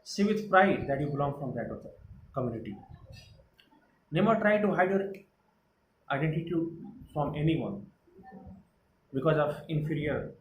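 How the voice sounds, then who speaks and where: A man with an Indian English accent teaches a chapter. His voice sits a little high, and he speaks in an earnest, repetitive way with frequent pauses. The echoey room has clear background noise. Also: animated, muffled recording. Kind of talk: explaining a textbook chapter.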